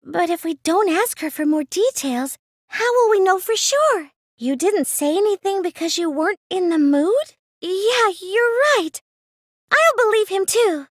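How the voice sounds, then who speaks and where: A woman's voice: very high voice, no echo, clean studio sound. A woman voicing a plain, meek, nerdy teenage girl in an anime mystery video game, speaking in a mild, hesitant, questioning way. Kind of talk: anime video game English dub acting.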